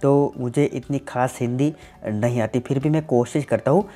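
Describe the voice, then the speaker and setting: A man, answers viewer questions on his tech channel in a plain, explanatory way, leaning on "definitely" and "simply". His voice is warm and mellow. Faint music plays underneath. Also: mid-range voice, moderate pace. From Gujarat, India. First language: Gujarati.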